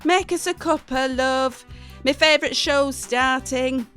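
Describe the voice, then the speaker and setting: A woman's voice: sits high, measured pace, a bit bright, soft background music, voice pushed up. A woman voicing a nagging, dramatic, scornful middle-aged mum in an audio short story. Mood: dismissive.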